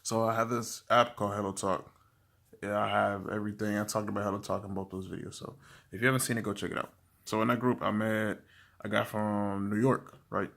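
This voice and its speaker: A young man giving casual language-learning tips to camera, talking in a relaxed, rambling way with many fillers and restarts. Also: on the low side, fairly quick, vocal fry. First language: English.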